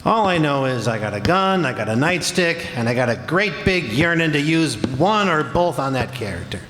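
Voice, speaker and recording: An actor playing a jumpy, belligerent beat cop with tough, slangy grumbling in an old-time radio drama. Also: male voice, a little high, big pitch swings, normal speed. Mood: restless, belligerent. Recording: noisy reverberant hall stage performance.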